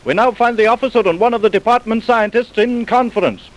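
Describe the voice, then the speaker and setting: A man's voice: extremely high pitch, fast talker, poor audio. A man delivering scripted narration for a vintage radio crime drama. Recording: vintage radio broadcast recording.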